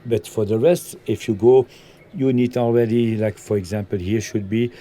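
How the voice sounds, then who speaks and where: A man from Belgium, a football coach, answering interview questions in halting full of ums and loose grammar. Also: on the low side, steady tone, dark tone, slight background noise.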